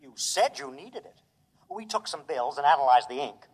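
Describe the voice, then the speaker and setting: Reverberant, obliging robot. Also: male voice, on the high side, lively intonation, normal speed. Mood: calm, obliging. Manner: deferential, modest, matter-of-fact. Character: earnest, obliging robot, eager to help his boss. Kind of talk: 1950s radio drama acting. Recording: vintage radio, long reverberant ring.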